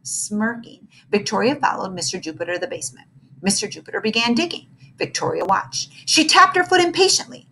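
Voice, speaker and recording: A woman narrating a children's storybook aloud in an expressive, dramatic way. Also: medium pitch, brisk, rough recording.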